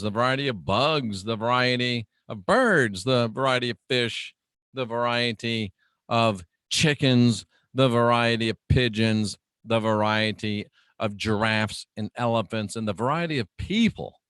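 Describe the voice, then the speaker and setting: Guy doing a radio sermon, slow n emphatic, mid pitch, big dramatic pauses, dry close sound. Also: fairly bright tone, dry recording. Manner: emphatic, deliberate, repeats phrases with dramatic pauses; showman-like, rhetorical questions to listeners. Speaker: radio preacher and host.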